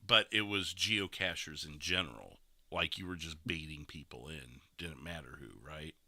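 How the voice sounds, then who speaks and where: Low, punchy-voiced male podcast host. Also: moderate pace, sharp tone, dry recording, studio quality. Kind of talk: casual discussion and review of recorded gameplay.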